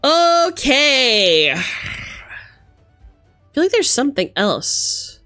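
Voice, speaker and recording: A woman streamer chatting casually and reading aloud from a rulebook, with an animated, theatrical manner as she reacts. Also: sits high, very slow, no echo. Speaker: streamer host. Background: music.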